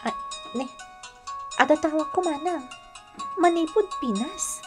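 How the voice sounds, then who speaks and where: A woman's voice: high-pitched, unhurried. A woman acting a young, lovestruck Filipina caregiver abroad, deferential, in an Ilocano radio drama. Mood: uneasy. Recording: old AM radio drama, music behind.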